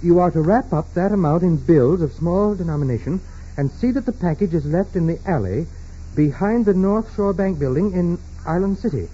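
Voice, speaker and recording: A muffled vintage radio recording of a male secretary. His voice is soft-edged and husky with a lively, varying pitch. He speaks formally and deferentially, in the manner of 1940s radio drama. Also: normal speed. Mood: anxious, urgent. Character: male private secretary, deferential yet anxious.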